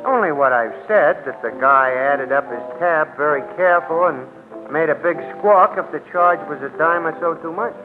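A high-pitched, naive and chatty bartender, played by a man, rambles in ungrammatical working-class slang. He is the good-natured comic sidekick of a 1940s radio drama, heard on a tinny old recording with music behind. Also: normal speed, lightly acted. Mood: gossipy. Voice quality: folksy, ungrammatical working-class city speech.

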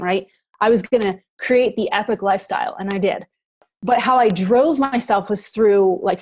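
A woman in her forties, a podcast guest, talking candidly and reflectively about her life in a casual interview. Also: medium pitch. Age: 40s. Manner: candid and reflective, quick bursts with laughs.

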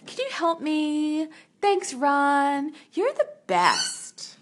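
A woman's voice: high voice, sing-song, drawn-out words, heavily acted. A woman playing an airheaded young caller who drawls in valley-girl speech full of "like". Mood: flighty. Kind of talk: comedic parody.

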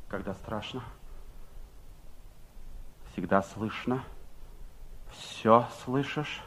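An actor playing an anxious, defiant middle-aged father and secret conspirator in a 1960s Soviet radio drama, speaking in a theatrical, deliberate manner. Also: on the low side, a bit bright, a little hiss or hum, exaggerated voice. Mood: fearful, tense. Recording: 1960s radio play tape.

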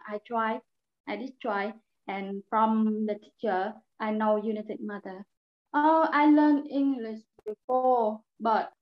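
A young woman with a Vietnamese accent, an English learner being interviewed, speaking halting second-language English. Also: fairly high pitch. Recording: echoey room, slightly dull sound.

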